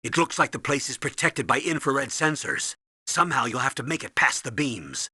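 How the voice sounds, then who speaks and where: An actor playing a stern older military commander, giving authoritative, urgent briefings in a video game with a husky voice. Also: male voice, mid-range voice, expressive, moderate pace, sharp tone, no echo, studio quality, full character voice. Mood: cautioning. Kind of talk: video game voice acting, tutorial instructions.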